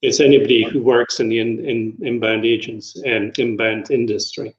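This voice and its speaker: A man's voice: medium pitch, little pitch movement, normal speed. A Scottish man from Edinburgh in his fifties, a travel company director giving a rambling, self-deprecating interview with plenty of ums over a video call. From Scotland. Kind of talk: casual conversational interview. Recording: roomy, dull video-call audio.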